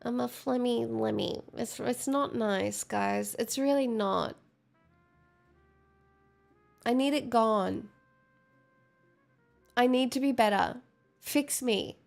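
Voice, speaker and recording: A woman's voice: on the high side, slow pace, vocal fry, soft background music. A young adult woman streaming, chatting casually with viewers, stuffed up with a cold and giggly, self-mocking.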